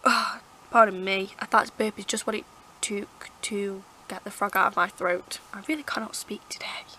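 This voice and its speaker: A woman with a croaky, hoarse throat, rambling chattily as she reviews her favourite books to camera. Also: medium pitch, fairly even intonation, moderate pace, pushed and bright, slight background noise, low-quality recording. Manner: rambling, chatty, lots of fillers and restarts. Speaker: book vlogger. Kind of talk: casual book review chat. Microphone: camera mic.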